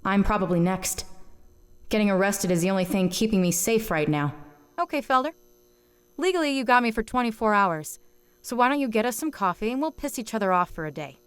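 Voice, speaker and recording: A woman's voice: medium pitch, lively intonation, a little fast, natural voice. A woman playing a hard-boiled, world-weary private detective in a noir audio drama, sardonic and wisecracking. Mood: wry, brooding. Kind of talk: noir audio drama dialogue and first-person narration.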